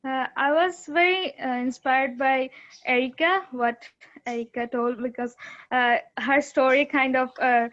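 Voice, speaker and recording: Slow, hesitant young woman. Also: sits high, steady tone, fairly bright tone, dull audio. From Bangladesh. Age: 20s. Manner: hesitant, many fillers and restarts. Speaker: student participant. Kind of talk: video call introduction. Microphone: video call.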